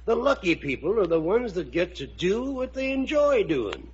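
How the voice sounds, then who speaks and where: An actor playing a henpecked, good-natured old riverboat captain in a 1950s radio drama, speaking in a husky, rustic, ungrammatical way. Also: male voice, high-pitched, very animated, moderate pace, darkish tone, full character voice. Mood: kindly, advising. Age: older. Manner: folksy, rustic, ungrammatical speech. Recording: muffled vintage 1950 radio recording.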